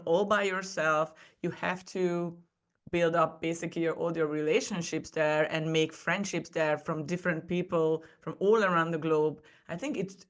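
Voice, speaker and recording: A woman's voice: on the low side, fairly bright tone. A woman who speaks English as a second language, telling personal stories as a guest on a podcast. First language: not English. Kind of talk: personal storytelling in a conversation.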